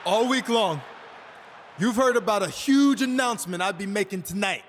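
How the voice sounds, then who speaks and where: A man's voice: sits high, lively intonation, moderate pace. A man playing an earnest, eager pro wrestler giving a showy scripted promo in a video game. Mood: proud, rousing. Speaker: wrestler announcing a tribute show. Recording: long arena reverb.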